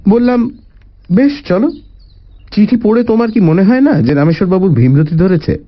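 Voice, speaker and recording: A man narrating a story in the first person, with an expressive, deliberate dramatic delivery. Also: sits high, lo-fi sound. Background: faint music.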